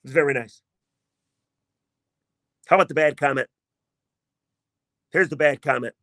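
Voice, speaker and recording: A man hosting a sports podcast, talking in a casual, emphatic and self-mocking way, with a voice he himself calls not deep. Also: measured pace, pushed and bright, no echo, clean studio sound. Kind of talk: casual sports commentary monologue.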